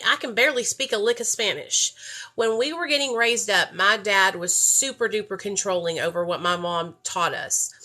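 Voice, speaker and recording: A woman's voice: mid-range voice, pushed and bright. Chatty woman telling her story. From rural United States. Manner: candid, chatty, addresses listeners directly. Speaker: speaker sharing her recovery story. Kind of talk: personal testimony to a group.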